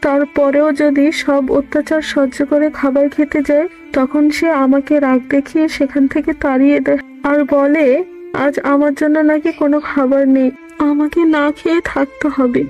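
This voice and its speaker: Woman acting spiteful village mother-in-law, high-pitched, accusing, self-pitying, cartoon dub, faint music behind. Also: flat delivery, normal speed, a little mellow, close to an everyday voice, rough recording. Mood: wailing, self-pitying. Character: spiteful, complaining middle-aged mother-in-law of a village household. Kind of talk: Bengali fairy-tale cartoon dub.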